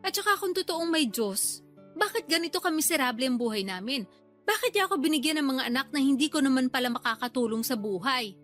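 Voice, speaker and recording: A woman's voice: high voice, animated, quick pace, a bit bright, soft background music. A woman playing a hard-up, complaining middle-aged older sister and mother in a Filipino radio drama. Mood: bitter complaining. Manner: complaining, defensive, blunt. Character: aggrieved, hard-up older sister, struggling mother of many children.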